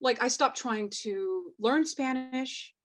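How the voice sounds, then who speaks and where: A woman with a slight Mexican American accent, a panelist on a video call, talking candidly and haltingly, with many restarts. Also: high-pitched. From Mexican heritage, US. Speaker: actress, panelist. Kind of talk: candid roundtable discussion.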